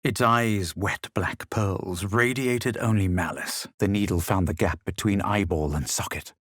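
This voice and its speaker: A man acting as a self-assured, superior fantasy adventurer in a video game, with measured, dramatic delivery. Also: low-pitched, fairly bright tone, no room sound, studio quality. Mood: solemn narration. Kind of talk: scripted video game voice lines, first-person narration.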